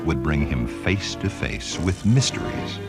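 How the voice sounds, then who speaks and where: A portentous narrator for a science fiction audio drama. His voice sits very low and moves at a deliberate, slow pace. Loud music plays beneath his solemn delivery. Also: expressive, exaggerated voice. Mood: solemn, mysterious.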